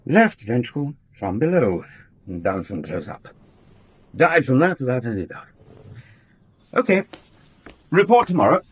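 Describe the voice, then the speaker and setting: An actor playing a droll, matter-of-fact police doctor in a radio drama, with a clipped, efficient delivery. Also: male voice, mid-range voice, sing-song, unhurried, long pauses, slightly rough.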